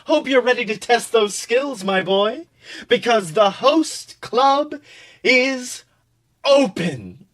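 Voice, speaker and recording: Guy doing a smooth smirky anime host club boy, kinda high voice, theatrical fanfic reading. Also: animated, slow, fairly bright tone, full character voice. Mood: delighted. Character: suave, smirking high school host club leader, male.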